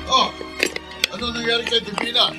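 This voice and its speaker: A man's voice: extremely high pitch, flat delivery, fairly quick, raised voice, music in the background. A man playing a concerned, startled man of the household in a Filipino radio drama, urgent and questioning. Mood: alarmed.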